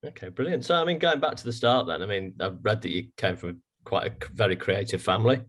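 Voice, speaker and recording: A man's voice: on the low side, animated, fast talker, a bit bright, husky. A man asking casual interview questions in an informal, affirming manner.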